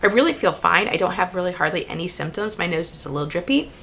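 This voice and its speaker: A woman with a hoarse, cold-roughened voice that sits low and carries a gravelly fry. She talks in a chatty, methodical way as a hair vlogger, and she reads product labels aloud. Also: expressive, fast, sharp tone, a little hiss or hum. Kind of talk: casual product review while styling.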